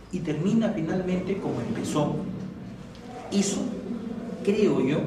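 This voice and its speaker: A Peruvian man speaks at a live literary presentation, heard from a distance in a very reverberant room with faint noise. His voice sits a little high. He explains in a didactic, erudite way, leaning on rhetorical questions and tag questions. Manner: ornate, erudite vocabulary. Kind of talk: extemporaneous literary speech to an audience. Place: echoey indoor room at a live event.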